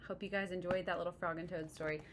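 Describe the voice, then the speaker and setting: Expressive woman reading to children, lower-pitched, noisy recording. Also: fast talker. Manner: expressive, explanatory, checks in with listeners. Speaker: read-aloud storyteller. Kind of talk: picture-book read-aloud with asides to young listeners.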